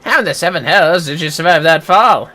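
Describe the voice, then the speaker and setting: A performer playing a bright-voiced, gruff streetwise informant. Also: mid-range voice, lively intonation, brisk. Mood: wry, guarded. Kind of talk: video game character voice.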